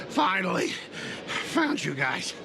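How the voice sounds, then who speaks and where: A man's voice: sits high, noisy background, full character voice. A man voicing a fawning, melodramatic corporate underling in a video game, with an obsequious, bombastic, exaggerated manner. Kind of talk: video game character dialogue. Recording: clear music behind the voice. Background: game music.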